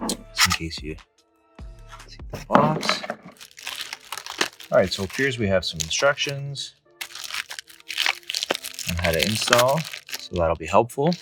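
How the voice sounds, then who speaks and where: A man in his thirties explaining a hands-on install step by step in a casual, plain-spoken way. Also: low voice. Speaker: host of a DIY Jeep how-to channel. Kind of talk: casual step-by-step tutorial narration. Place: indoor garage with high ceiling, in and around a car.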